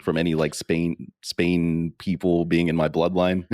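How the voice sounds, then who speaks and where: A man's voice: deep voice, flat delivery, unhurried, a little mellow, no room sound, studio quality. A man hosting a podcast, talking in a casual, conversational way. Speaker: podcast host.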